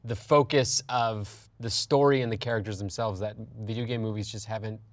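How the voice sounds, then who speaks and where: A man's voice: lowish voice, steady tone, fairly quick. A man talking casually and with firm opinions as a panelist on a discussion show. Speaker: panelist on a film and gaming talk show.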